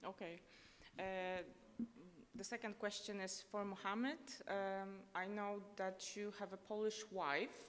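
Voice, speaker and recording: A woman hosts a panel discussion, speaking slowly and stopping often for ums and uhs. Her voice sits in a middle pitch. It carries a long echo from a large conference hall. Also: fairly even intonation. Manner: slow, hesitant, many fillers. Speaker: moderator.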